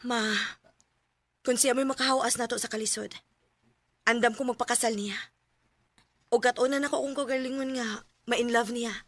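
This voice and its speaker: An actress playing a long-suffering young wife and dutiful daughter in a Filipino radio drama, emotive and plaintive, with a Cebuano accent. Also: female voice, on the high side, steady tone, moderate pace, long pauses, dry recording. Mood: earnest.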